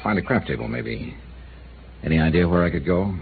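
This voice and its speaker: A man's voice: low-pitched, animated, a little fast, vocal fry, a little hiss or hum. A man voicing a dry, wry insurance investigator who narrates a 1950s-style radio drama. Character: wry hard-boiled male insurance investigator. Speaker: narrator and lead. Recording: old lo-fi radio broadcast with music.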